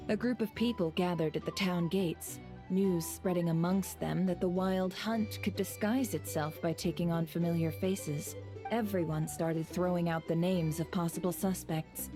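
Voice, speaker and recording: An actress voices a composed, calculating woman from an anime game. Her voice sits slightly low and she speaks formally and knowingly, in measured phrases, with clear music playing behind her. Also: close to an everyday voice. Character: composed, shrewd female schemer running an informant network.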